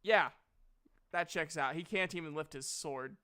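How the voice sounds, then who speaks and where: A man's voice: fairly high pitch, unhurried, a crowd in the background. A man narrating a tabletop role-playing game as game master, casual and hesitant, swearing now and then.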